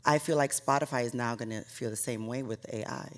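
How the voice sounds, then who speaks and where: A man's voice: medium pitch, a little fast. A man in his late thirties with a mid-range voice speaks as an interviewer. His delivery is informal and conversational, like a chat between acquaintances. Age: late 30s.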